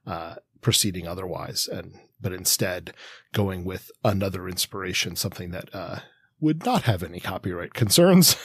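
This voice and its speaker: A man co-hosting a podcast, discussing books casually in hesitant, halting phrases. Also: sits low, husky, no echo. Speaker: podcast co-host.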